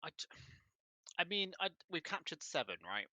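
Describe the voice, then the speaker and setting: A man playing a tabletop roleplaying game, chatting casually with dry humour and wordy, deliberate phrasing. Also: fairly high pitch, very animated, pushed and bright, no echo.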